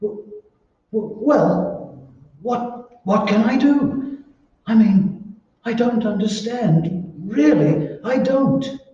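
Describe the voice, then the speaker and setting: An actor playing a meek, frightened, henpecked husband who stammers and hesitates, in an old-time radio drama. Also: male voice, sits high, expressive, drawn-out words, pauses often, dark tone, exaggerated voice. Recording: very echoey, muffled, distant room recording.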